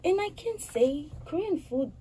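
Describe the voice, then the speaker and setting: A woman in her twenties from Haiti, a guest answering interview questions in an earnest, halting way, often restarting her phrases. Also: high-pitched, animated, crowd noise. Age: 20s.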